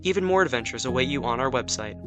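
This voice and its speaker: A man's voice: medium pitch, lively intonation, fast talker. A man announcing a scripted intro for an audio drama series. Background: plucked guitar music.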